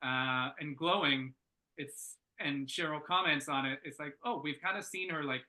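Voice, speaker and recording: A man giving casual workshop feedback, thinking aloud in a halting, informal and enthusiastic way. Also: a little high, pushed and bright. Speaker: script reader giving feedback.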